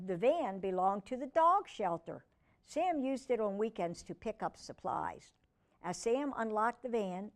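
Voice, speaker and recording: Woman, children's storytime reader, very lively pitch, chatty asides. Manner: expressive, chatting to young listeners and a pet. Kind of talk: reading a children's book aloud with chatty asides.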